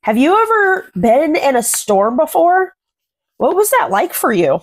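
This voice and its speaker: A woman in her thirties reading a picture book aloud to children, commenting and putting questions to young listeners in simple, deliberate phrases. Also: high-pitched, sing-song. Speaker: children's read-aloud host. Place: small furnished room at home.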